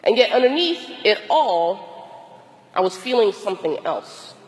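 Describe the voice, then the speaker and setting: A hoarse, tired woman priest with a cold gives a slow, deliberate sermon with long pauses. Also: medium pitch, very animated, big-room sound, low-quality recording. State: hoarse, losing her voice from a cold; exhausted. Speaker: Episcopal priest. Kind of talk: reflective sermon.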